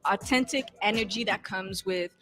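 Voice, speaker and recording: A woman who grew up in East Africa and whose first language is not English, telling her story in an animated way and repeating points for emphasis in a casual podcast interview. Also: mid-range voice, normal speed. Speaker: community worker guest.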